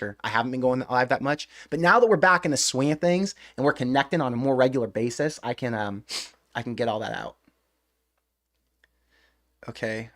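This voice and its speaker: A man hosting a live stream, chatting casually in a rambling, excitable way with mild swearing. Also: medium pitch. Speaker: live-stream host of a fan channel.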